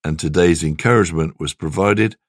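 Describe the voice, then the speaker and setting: A man reading a scripted devotional as host, in a measured, reverent manner. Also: low voice, no echo, clean studio sound.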